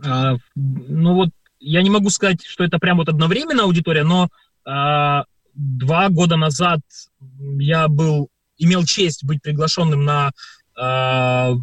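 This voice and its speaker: A man's voice: on the high side, a little slow, no echo, poor audio. Hesitant man on dry studio mic. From Ukraine. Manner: hesitant, many filler sounds, storytelling. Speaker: performer and guest. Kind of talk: radio interview answers.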